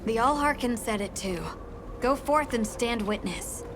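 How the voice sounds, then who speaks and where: An actress playing a resolute, level-headed expedition member in a video game, speaking purposefully over music. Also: female voice, fairly high pitch, measured pace, close to an everyday voice. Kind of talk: dramatic video game dialogue.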